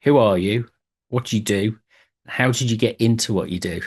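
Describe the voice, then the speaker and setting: A man hosting a podcast, chatting casually and warmly with a guest over a remote call from home. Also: lowish voice, normal speed, husky. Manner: friendly, chatty, light filler. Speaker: podcast host. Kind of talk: casual interview chat.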